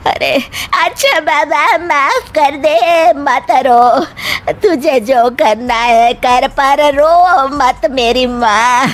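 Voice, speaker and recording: A woman's voice: high-pitched, extremely slow, slight background noise. A woman voicing an exasperated older mother-in-law who scolds her daughter-in-law. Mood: scolding. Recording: faint music behind.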